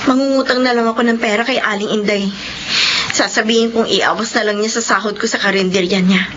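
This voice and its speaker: An actress playing a worried, dutiful young eldest daughter in a Filipino radio drama, pleading and earnest. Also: female voice, mid-range voice, fairly even intonation, fairly quick, close to an everyday voice. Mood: worried, resolute. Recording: muffled radio drama, clear noise.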